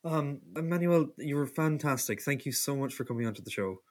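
A man's voice: mid-range voice, lively intonation, fairly quick, a little mellow. A man hosting a company podcast, thanking the guest and summing up the episode. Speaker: podcast host.

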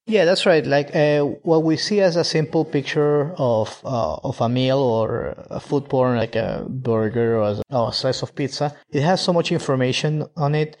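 Venezuelan-born male founder, halting interview guest with fillers, average pitch. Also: a little mellow. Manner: halting, with many fillers and restarts. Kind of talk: casual interview answers.

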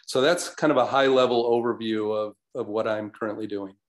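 An older man introducing himself as a guest expert on a webinar, speaking in a measured way with frequent ums and restarts. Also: lowish voice, fairly even intonation.

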